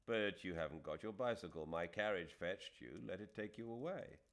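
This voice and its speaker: A man playing a calm, courteous middle-aged English gentleman in an audiobook, level and reasonable. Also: sits low, moderate pace. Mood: considerate.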